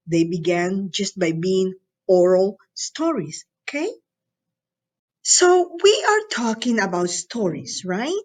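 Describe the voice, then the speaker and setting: A woman from Latin America teaching a recorded lesson to students in an encouraging, instructional way, often checking in with them. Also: medium pitch.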